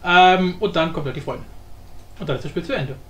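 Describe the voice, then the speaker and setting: A man giving a casual, informal software tutorial, explaining step by step. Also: on the high side, fairly quick. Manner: informal, explanatory, often adds tag questions. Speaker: tutorial host. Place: indoor room, voice-over of screen recording. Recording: roomy echo with faint background noise.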